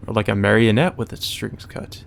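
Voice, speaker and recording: A man's voice: fairly low pitch, steady tone, normal speed, vocal fry. A man reading visual novel narration aloud as a let's play, mixed with casual, sweary commentary.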